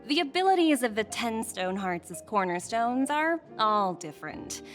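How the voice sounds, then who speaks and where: An actress playing a confident, playful young woman executive in a video game, with an expressive, teasing manner. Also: fairly high pitch, moderate pace, faint music behind.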